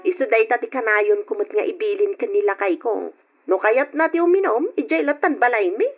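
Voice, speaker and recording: Helpful friend, muffled radio sound. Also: female voice, fairly high pitch, a little fast, faint music behind. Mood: concerned. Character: helpful, inquisitive female friend and confidante. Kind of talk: old radio drama acting. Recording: dull, lo-fi old radio recording.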